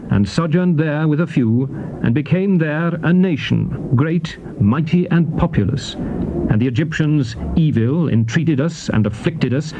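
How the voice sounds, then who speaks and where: Noisy old tape, solemn declamatory male lawgiver. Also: a little high. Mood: solemn, reverent. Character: solemn male lawgiver addressing his people in scripture. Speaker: scripture narrator. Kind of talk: dramatized King James Bible reading.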